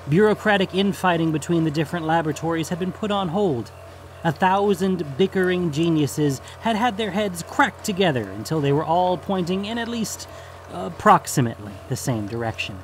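A man reading scripted fantasy fiction as the narrator of an audio story. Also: a little high.

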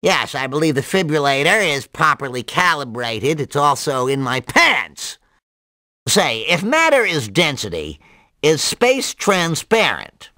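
A man voicing a nerdy, excitable, absent-minded cartoon mad scientist in a video game, nasal and full of rambling jargon and odd exclamations. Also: on the high side, unhurried, dry recording, clean studio sound, exaggerated voice. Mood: musing, chatty.

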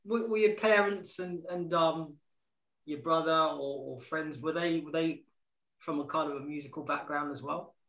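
A middle-aged man who hosts a radio show, asking questions in a halting, repetitive way over a video call from home. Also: on the high side, fairly bright tone. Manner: hesitant, repeats words as he asks questions. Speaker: radio DJ and host. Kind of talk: casual interview chat. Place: home room, indoors. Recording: echoey room on a video call.

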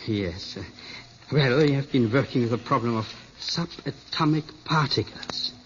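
An actor playing an elderly, absorbed scientist and father in an old radio drama, speaking in a deliberate, hesitant lecturing way. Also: medium pitch, natural voice. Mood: earnest, lecturing. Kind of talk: 1950s radio drama acting. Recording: muffled old radio recording with hiss.

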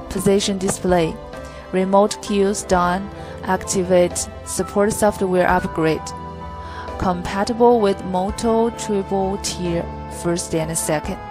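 A woman reading a scripted product tutorial in a stilted, phrase-by-phrase way. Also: mid-range voice. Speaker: product demonstrator.

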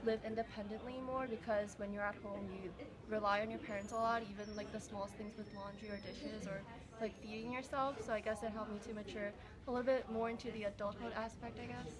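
A woman's voice: mid-range voice, steady tone, quick pace, a crowd in the background. A young woman answering interview questions, tentative and hedging with "I guess.". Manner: tentative, says "I guess" often. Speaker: interviewee, nursing student.

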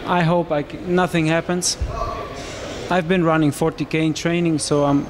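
A man in his thirties from Romania, speaking English, answering interview questions into a handheld mic in a small room, with halting phrasing and frequent restarts. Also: a little high, steady tone, background noise. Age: 30s. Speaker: marathon runner interviewee.

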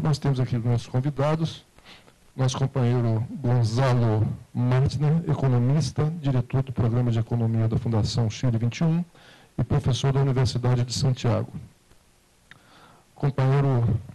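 A man's voice: medium pitch, mellow, poor audio. A man moderating a seminar panel, reading the agenda formally and stumbling over words and names. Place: conference room at panel table.

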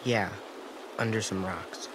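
Shy guilty boy in a video game, hesitant, a bit low, clear stream noise behind him. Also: fairly even intonation, slow pace. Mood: sad, subdued. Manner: hesitant, soft-spoken, apologetic. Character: timid, guilt-ridden gentle young boy. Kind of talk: naturalistic video game dialogue acting. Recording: clear outdoor stream ambience.

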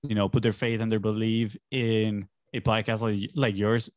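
A man's voice: lowish voice, little pitch movement, moderate pace, darkish tone, no echo, clean studio sound. A young man from Venezuela, a native Spanish speaker, telling his story in a casual, conversational way as a podcast guest. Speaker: podcast guest, agency entrepreneur. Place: small home studio indoors. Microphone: close boom-arm podcast microphone with headphones.

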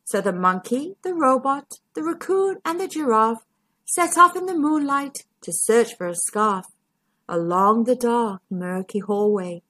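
A woman storytime host with a husky voice, reading a children's story expressively to young listeners. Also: a little high, bright, forceful tone. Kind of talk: storybook read-aloud narration.

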